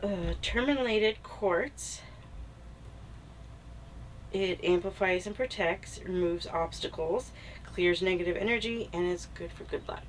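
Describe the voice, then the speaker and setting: A woman talks through her crystal picks in an unhurried, easygoing way, pausing with little fillers. Her voice sits a little low, with a faint hiss behind it. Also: low-quality recording. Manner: soft, unhurried, with pauses and fillers. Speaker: crystal shop vlogger. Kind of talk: casual explanatory vlog.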